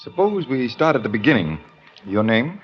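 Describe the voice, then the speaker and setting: An actor playing a calm, cautious doctor with a measured, probing, formal manner, in 1940s radio drama style. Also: male voice, medium pitch, close to an everyday voice. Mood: guarded calm. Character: calm, cautious doctor questioning an armed madman. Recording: dull old radio recording, faint music.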